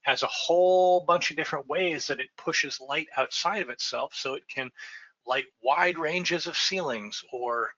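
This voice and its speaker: Bright-voiced man lecturing on a video call. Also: a little high, unhurried, highs cut off. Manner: conversational, frequent "right?" checks and fillers. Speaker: lighting designer presenting a webinar. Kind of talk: slide lecture, explanatory. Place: home office with bookshelves. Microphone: webcam and computer mic on a video call.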